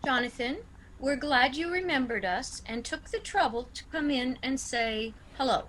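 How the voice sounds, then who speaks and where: An actress playing a prim, polite but firm old aunt in a radio play. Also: female voice, high voice, expressive, normal speed, a bit bright, slight background noise, low-quality recording. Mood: firmly unwelcoming.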